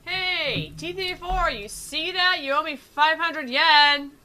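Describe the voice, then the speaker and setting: Woman playing a bright, teasing classmate. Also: high-pitched, slow, slight background noise, voice pushed up, exaggerated voice. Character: teasing, casual classmate in a tank school game.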